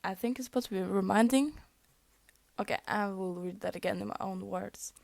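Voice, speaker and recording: A woman's voice: mid-range voice, fairly quick, creaky, darkish tone, no echo. A young woman whose first language is Norwegian, reading fan fiction aloud, often tripping over words and laughing at her slips. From Norway. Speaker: fanfic reader.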